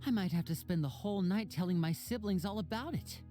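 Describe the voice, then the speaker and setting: A woman's voice: medium pitch, a little fast, mellow, soft background music, close to an everyday voice. A woman playing a courteous young magician character in a video game, with polite, polished delivery. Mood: admiring.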